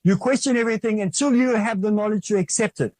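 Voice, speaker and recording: Male host of a faith series, slightly high and mellow, who preaches with insistent repetition. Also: quick pace, no room sound. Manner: emphatic, repetitive, urging the listener. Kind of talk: preaching religious talk.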